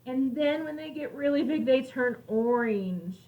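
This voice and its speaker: Woman, high voice, children's teacher, read-aloud lesson, simple child-directed talk, slightly distant mic, faint noise. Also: lively intonation, unhurried, phone-quality sound. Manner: child-directed, simple wording with questions to listeners.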